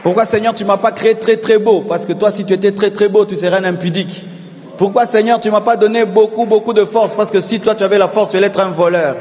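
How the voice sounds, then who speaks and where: A male pastor preaching a sermon, calling on the congregation to repeat after him and swelling into shouted refrains. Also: high voice, steady tone, fast, long reverb tail. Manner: preaching with call-and-response, rising to shouted repetitions.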